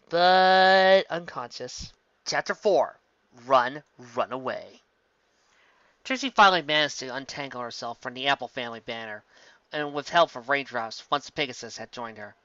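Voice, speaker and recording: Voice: mid-range voice, pushed and bright, no room sound, dull audio. A narrator reading a fantasy story aloud as scripted narration, occasionally stumbling over the words.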